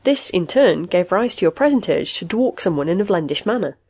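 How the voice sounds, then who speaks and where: A woman's voice: lowish voice, animated, brisk, darkish tone, husky. A woman voicing a condescending therapy machine in an audiobook, formal and patiently probing, full of clinical jargon. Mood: clinical, assured.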